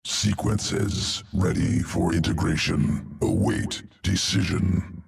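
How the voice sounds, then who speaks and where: A man's voice: sits low, fairly even intonation, slow pace, recorded from a distance, noisy background, exaggerated voice. An actor playing a cold, clinical male alien creature scientist who speaks in clipped fragments without small words. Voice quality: gravelly, gurgling alien voice.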